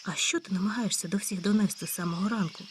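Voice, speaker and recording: A woman voicing a calm, hardworking male hedgehog in a storybook tale, speaking matter-of-factly. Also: medium pitch, quick pace, background noise, lightly acted. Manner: calm, matter-of-fact, scolding when cross. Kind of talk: storybook character voice.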